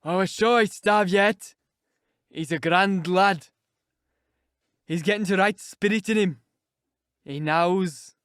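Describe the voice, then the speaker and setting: A man voicing a spiteful, mocking old male servant, drawling slowly. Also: high voice, a bit bright, no room sound, clean studio sound. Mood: gloating. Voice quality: croaking, crackly laugh. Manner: slow, gloating drawl.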